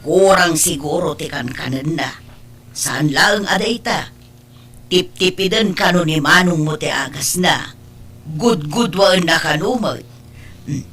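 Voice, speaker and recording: A woman's voice: deep voice, a little slow, a bit bright, a little hiss or hum. A woman playing a concerned, meddling middle-aged aunt in an Ilocano audio drama, fretful and chiding, with an Ilocano accent. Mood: grave, confiding.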